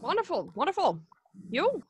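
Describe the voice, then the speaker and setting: A woman playing an awkward, smitten male reporter in a radio play, stammering and halting as she speaks. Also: high-pitched, huge pitch swings, exaggerated voice. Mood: flustered, cheerful.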